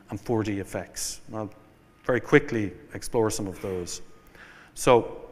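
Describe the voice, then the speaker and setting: A man with an Irish accent giving a conference keynote, setting out points in a measured way with fillers and restarts. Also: medium pitch, fairly bright tone. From Ireland. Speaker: keynote speaker. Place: conference hall. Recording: long hall reverb.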